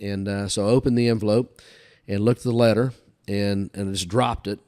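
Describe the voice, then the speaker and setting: Older man with a low voice, telling stories. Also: steady tone, a little fast, a little mellow. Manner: folksy, recounts dialogue back and forth. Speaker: podcast guest telling career stories. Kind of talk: casual anecdotal storytelling.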